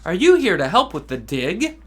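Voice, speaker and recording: Storybook scientist lady, friendly and patient, man doing the voice, lively pitch. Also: moderate pace, heavily acted.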